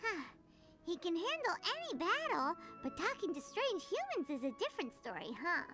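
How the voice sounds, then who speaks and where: Tiny cheeky fairy sidekick, very high squeaky voice, swooping wildly, game audio with music. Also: female voice, very animated, normal speed. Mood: chirpy. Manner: chirpy, teasing and dramatic. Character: tiny excitable floating fairy companion, chatty and cheeky. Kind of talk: video game voice acting.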